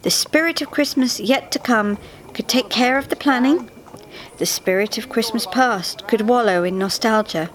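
A woman reading a scripted story to a live audience in a measured, dramatic way. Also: fairly high pitch, poor audio. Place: theatre stage, live reading. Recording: live theatre recording with faint background noise.

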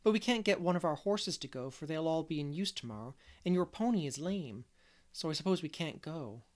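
A woman's voice: low voice, lively intonation, a little fast, a little mellow. A woman doing an eager young friend. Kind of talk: audiobook character voice.

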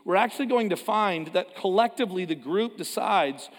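Male pastor, fairly high voice, chatty sermon with jokes, big echoing hall. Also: animated, moderate pace. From Canada. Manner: conversational, self-deprecating asides, frequent restarts. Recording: long hall reverb.

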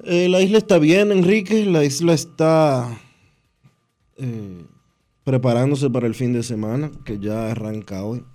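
Man with a mellow voice. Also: mid-range voice. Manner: measured, earnest opinion talk with hesitant fillers. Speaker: radio sports show co-host. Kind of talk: radio commentary. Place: radio booth.

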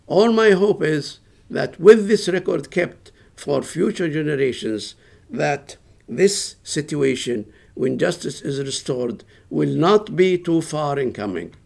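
Older man, impassioned and gravelly lecturer. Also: a little high, animated, slow, darkish tone. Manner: earnest, emphatic, rising to passion. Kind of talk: lecture and Q&A answers.

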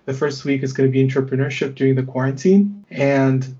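A man's voice: medium pitch, fairly quick, dark tone, highs cut off. A young man hosting a student podcast conversation, hesitant with many fillers and restarts. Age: young adult. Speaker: podcast host.

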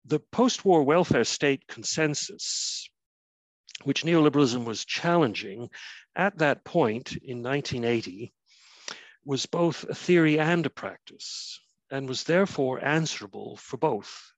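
A Canadian man in his fifties, an author giving a prepared remote lecture, measured and full of uh and um fillers. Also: medium pitch, animated, no echo, rough recording. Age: 50s. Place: his flat, remote talk.

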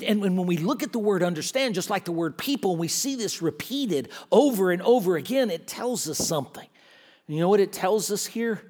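Guest preacher, male, fairly high voice, emphatic, often restarts words. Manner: swings from quiet and slow to loud and fast. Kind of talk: sermon.

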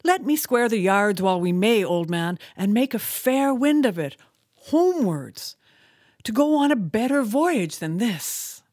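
A woman's voice: fairly high pitch, sing-song, measured pace, darkish tone, no room sound, studio quality, pitched above the performer's own voice. A woman voicing an anxious first mate, pleading urgently with his captain.

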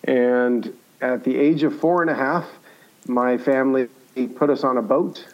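A man's voice: mid-range voice, unhurried. A man, a guest on an interview show, telling personal stories conversationally with emphatic repetition. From born in Cuba, grew up in the United States. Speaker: podcast guest, entrepreneur. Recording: slightly dull, lo-fi sound.

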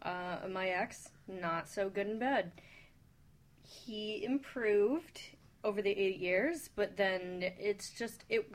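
A woman shares her views informally on a talk panel. She speaks slowly, in a voice of average pitch, as in personal conversation. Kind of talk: casual personal chat.

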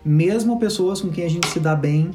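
Reflective man, mellow voice. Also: fairly high pitch, normal speed, a little hiss or hum. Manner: reflective, talks in long stretches. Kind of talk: casual conversational chat. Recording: echoey room with faint music.

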